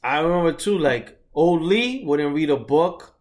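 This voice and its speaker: A man's voice: fairly high pitch, animated, measured pace, a little mellow. A man in his thirties co-hosting a podcast, telling laid-back stories in casual chat and swearing now and then. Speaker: podcast co-host.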